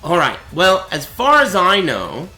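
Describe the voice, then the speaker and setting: Brief: bright, animated man, voice gone raspy, casual stream tutorial, echoey room with music. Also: high-pitched, a little slow. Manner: chatty, explanatory, asks "right?" often. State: voice raspy and cracking. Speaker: streamer teaching a fighting game.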